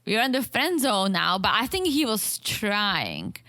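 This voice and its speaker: A woman co-hosting a podcast about a reality show, chatting casually and acting out quotes in an animated way. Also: mid-range voice, a little slow, no echo, clean studio sound. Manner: animated, acts out quotes from the show, frequent restarts. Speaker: podcast co-host.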